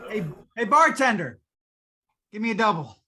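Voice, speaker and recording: A woman's voice: medium pitch, very animated, normal speed, crowd noise, natural voice. A woman playing a weary, exasperated male maintenance chief in an old-time radio drama. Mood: weary, irritable.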